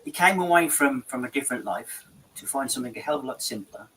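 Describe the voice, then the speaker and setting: A man's voice: medium pitch, animated, quick pace, fairly bright tone, a little hiss or hum, poor audio. A man hosting a show, chatting in a rambling, repetitive way about a market visit. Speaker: show host. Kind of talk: casual studio chat.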